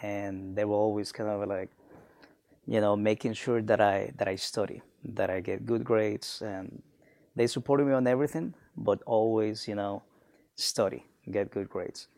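Reflective man from Honduras, gravelly. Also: lowish voice, fairly even intonation, measured pace, darkish tone, no room sound, studio quality. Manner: reflective, many fillers and restarts. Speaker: guest, business alumnus. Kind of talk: conversational interview.

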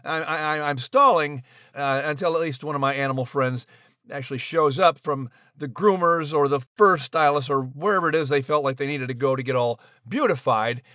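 A man's voice: on the high side, normal speed, bright, forceful tone, dry recording, studio quality. A man with an American accent narrating and hosting a scripted comedy podcast in a chatty, rambling, playful way. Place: studio.

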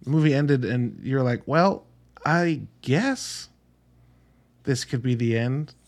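A male podcast host talks through films in an informal, conversational way. His voice sits at an average pitch for a man. He rambles into animated asides and drops casual swear words, as in an offhand solo review. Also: takes it slowly.

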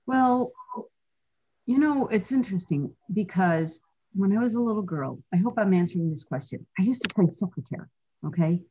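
A woman's voice: mid-range voice, expressive, normal speed, warm tone, slightly rough, highs cut off. A middle-aged woman talking earnestly and reflectively as an interview guest, often restarting her sentences. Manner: earnest, reflective, with frequent restarts and "you know". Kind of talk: candid personal interview.

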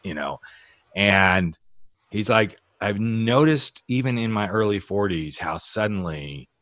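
A middle-aged man with a low voice chats as host, candid and animated. His stories slide into the voices of the people he quotes. The sound is close and dry, with no room around it. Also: takes it slowly, no room sound. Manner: candid, animated storyteller who acts out quoted dialogue. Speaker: podcast host and author. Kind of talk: casual conversation. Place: US West Coast.

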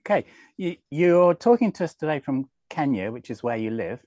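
A man's voice: on the high side, lively intonation, moderate pace, darkish tone, no echo. A man hosting a podcast, asking short, straightforward questions. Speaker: podcast host. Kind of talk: podcast interviewing.

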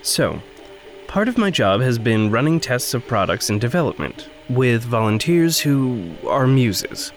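An actor playing an earnest, anxious, conscientious man in an audio drama, speaking haltingly and trailing off. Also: mid-range voice, sing-song, soft background music. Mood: troubled, earnest. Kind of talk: naturalistic audio drama acting.

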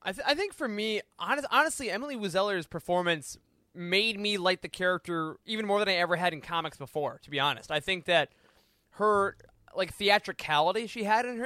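High-voiced, bright, quick-talking man. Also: dry recording, clean studio sound. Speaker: podcast panelist. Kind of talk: casual roundtable discussion.

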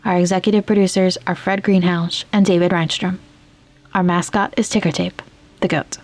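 A woman hosting a podcast, reading scripted announcements and credits in a measured, clearly enunciated way. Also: lowish voice, little pitch movement, a little mellow, no echo. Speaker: podcast host.

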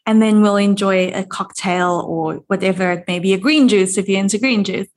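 A woman's voice: mid-range voice, brisk. A woman in her thirties from South Africa with a South African accent, talking enthusiastically as a guest in an interview. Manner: warm, enthusiastic storytelling. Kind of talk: conversational interview.

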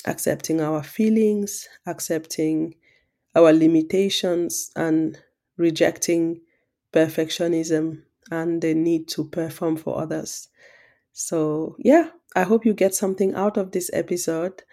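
A woman from Cameroon, speaking as a podcast guest in a soft, reflective way with hesitant fillers. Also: low voice, fairly even intonation, measured pace. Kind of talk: reflective conversation.

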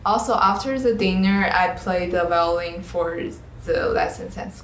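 A woman's voice: on the low side, measured pace, fairly bright tone. A teenage female student with a Chinese accent giving casual answers as an interview guest. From China. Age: teens. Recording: roomy echo, background noise.

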